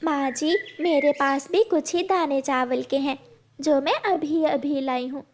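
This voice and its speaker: A woman voicing a gentle, earnest mother sparrow in a children's cartoon. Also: high voice, fairly even intonation, measured pace, a little hiss or hum. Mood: tender, concerned. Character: gentle mother sparrow, caring, earnest, storytelling to her daughter.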